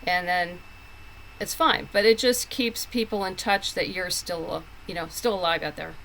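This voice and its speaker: Bright-voiced woman chatting as interview guest. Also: fairly low pitch, moderate pace, slight background noise, rough recording. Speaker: interview guest, comic book writer. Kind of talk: casual conversational interview.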